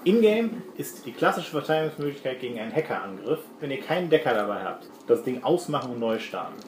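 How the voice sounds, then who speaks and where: A man's voice: medium pitch, sing-song, fast talker. A man running a tabletop role-playing game, improvising narration and explaining rules in casual table talk. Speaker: game master. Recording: noisy, echoey room recording.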